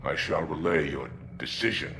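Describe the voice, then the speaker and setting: An actor playing a proud, dutiful alien warrior in a video game, speaking formally and sternly. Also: male voice, extremely low pitch, steady tone, normal speed, creaky, background noise. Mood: grave, restrained. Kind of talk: cinematic video game acting. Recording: loud film score behind.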